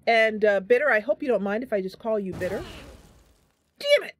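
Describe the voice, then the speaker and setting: A woman hosting a casual game livestream, chatty and animated, talking through her play and reading bits of story aloud. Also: a little high, fairly quick, slight background noise. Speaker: streamer and host playing a fantasy role-playing game. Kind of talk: casual livestream gameplay commentary with narration read aloud.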